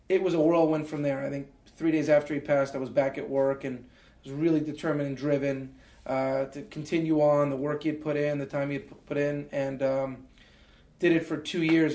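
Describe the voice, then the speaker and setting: A man's voice: fairly high pitch, a little mellow. Earnest man telling his story in a roomy space. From Canada. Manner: earnest, many fillers and restarts. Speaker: interview guest. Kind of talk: reflective storytelling. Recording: roomy echo.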